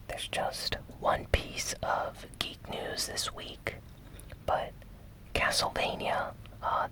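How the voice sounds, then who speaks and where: An ASMR gaming news host who speaks entirely in a whisper. The delivery is slow and soft, an unhurried news recap given close to the microphone. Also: rough recording.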